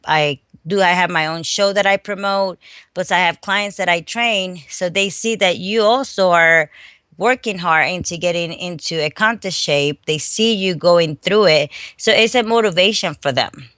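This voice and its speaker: A middle-aged woman from the Dominican Republic, a fitness coach speaking haltingly with many restarts in a phone interview. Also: fairly low pitch, fairly bright tone, no room sound. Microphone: phone call.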